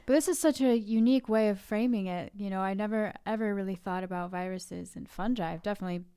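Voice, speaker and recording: A woman's voice: medium pitch, fast talker, warm tone, smooth. A woman hosting a podcast, moving between friendly interview questions and read explanations. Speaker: podcast host. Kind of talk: casual interview and scripted narration.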